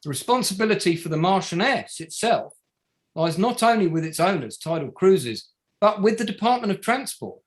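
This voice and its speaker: A man acting as an indignant male barrister in a stage drama, addressing an inquiry. His voice sits high for a man and swings widely in pitch. He speaks formally and deliberately, breaking his submissions into emphatic short phrases. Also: animated. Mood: measured, formal. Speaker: actor in an online table read. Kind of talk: formal courtroom submission, play reading.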